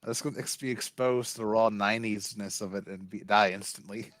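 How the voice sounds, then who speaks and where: Man guest, jokey and halting, bright voice, dry close sound. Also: lowish voice, fairly even intonation, no echo. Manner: joking, halting, with restarts and laughs. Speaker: guest watching for the first time. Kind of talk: casual chat. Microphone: home mic over a rough internet connection.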